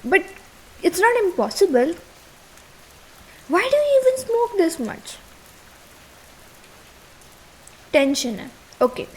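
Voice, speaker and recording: A woman's voice: sits high, heavily acted. A young woman with an Indian accent playing a caring but easily exasperated, nagging girlfriend in a casual ASMR roleplay, mixing two languages. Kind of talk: ASMR girlfriend roleplay, Hindi mixed with English. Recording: hiss and rain sound behind.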